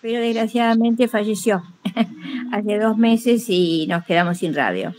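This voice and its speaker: A woman with an Argentine accent giving a casual personal account in a group call, modest and halting, with many filler words. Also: a little high, a little mellow. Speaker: workshop participant, teacher.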